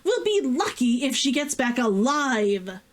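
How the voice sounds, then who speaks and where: A woman's voice: sits high, big pitch swings, a little slow, voice pushed up. A woman voicing an indignant, controlling older sister who snarls accusations in a story read aloud. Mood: accusing, angry.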